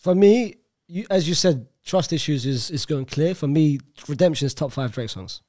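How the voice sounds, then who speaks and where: A man's voice: a little high, lively intonation, moderate pace, a little mellow. A young man guesting on a casual podcast, playful and emphatic, speaking into a studio mic. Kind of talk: casual chat. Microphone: studio mic on a boom arm.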